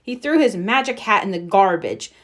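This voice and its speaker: A woman reading a children's storybook aloud as narrator, with expressive storytelling. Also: mid-range voice, moderate pace. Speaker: storybook reader.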